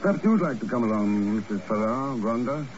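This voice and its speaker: An actor playing a cool, unflappable secret agent in a 1950s radio drama, calm, dry and understated. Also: male voice, mid-range voice, fairly quick, natural voice. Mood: casual, suave. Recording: noisy muffled old radio recording.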